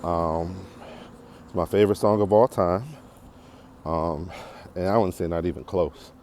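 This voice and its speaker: An American man in his forties talking casually and unscripted as a podcast host, in a reflective, halting, rambling way while walking outdoors. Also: low voice, steady tone, darkish tone. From Detroit area, United States. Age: 40s. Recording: clearly noisy outdoor walking recording.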